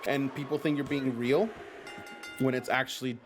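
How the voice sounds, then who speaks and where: A man whose first language is Spanish, hosting a live stream and commentating a simulated wrestling game in an excitable, chatty way. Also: medium pitch, normal speed, music in the background. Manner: excitable, chatty, reads viewer comments aloud. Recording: noisy background.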